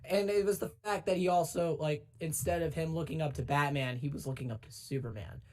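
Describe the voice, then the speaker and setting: A man in his twenties with a somewhat high-pitched voice. He talks informally, like a fan on a panel chatting with friends about superhero movies. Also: a little fast, darkish tone. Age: 20s. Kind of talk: casual chat about comic book films.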